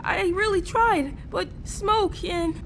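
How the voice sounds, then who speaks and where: A distraught, pleading youngster from a game. The voice is extremely high and near tears, with clear rumbling noise around it. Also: female voice, slow, exaggerated voice. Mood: panicked. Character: distraught, pleading youngster whose parent is trapped. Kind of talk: video game cutscene acting.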